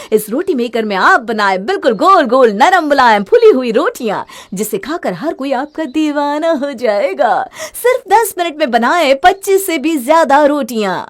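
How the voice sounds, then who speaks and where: Woman playing a very high, animated advert announcer. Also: exaggerated voice. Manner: salesy, rhetorical questions. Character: peppy female advert announcer selling kitchen gadgets. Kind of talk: advertisement pitch inside a story.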